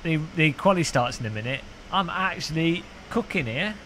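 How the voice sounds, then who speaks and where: A man's voice: on the high side, animated, normal speed, fairly bright tone, a little hiss or hum. A man, chatting casually on a sim racing live stream, rambling and joking with his chat. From United Kingdom. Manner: rambling, joking, frequent restarts.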